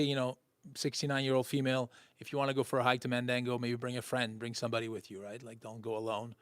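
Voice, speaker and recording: Man, mid-range voice, casual co-host, relaxed hedging, dry sound. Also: medium pitch, dry recording. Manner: easygoing, hedging with "you know". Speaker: co-host reading viewer questions. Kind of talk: casual Q&A livestream.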